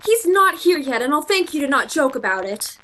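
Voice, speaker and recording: A woman acting a prim young shop clerk in a vintage radio romance. Her high, very animated voice flutters with fluster, over clearly noisy home audio. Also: brisk. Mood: agitated. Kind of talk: old-time radio play acting.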